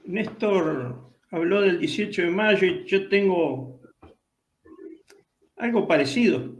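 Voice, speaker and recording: A Uruguayan man hosting a radio talk show, speaking haltingly with pauses. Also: sits high, expressive, dull audio. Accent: Uruguayan Spanish. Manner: hesitant, halting with fillers. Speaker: host. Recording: echoey room.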